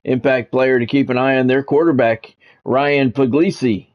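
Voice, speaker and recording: A middle-aged man talks on a livestream as a game commentator. His pitch is average for a man, and his delivery moves between casual chat and announcer-style sports play-by-play. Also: fairly even intonation, moderate pace, lo-fi sound.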